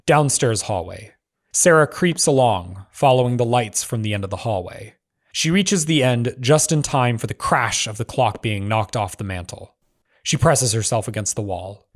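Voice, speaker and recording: A man narrating screenplay stage directions in measured, clipped phrases. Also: medium pitch.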